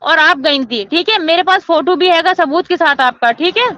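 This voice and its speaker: A woman's voice: very high-pitched, normal speed, pushed and bright, no echo. A woman from arguing insistently on a phone call, scolding and talking over the other person. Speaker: agent handling housing forms. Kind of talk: heated phone argument.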